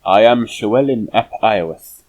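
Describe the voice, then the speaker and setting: A man's voice: lowish voice, normal speed, darkish tone, slight background noise, natural voice. A man reading the part of a proud, cheerful young Welsh boy with formal storybook phrasing.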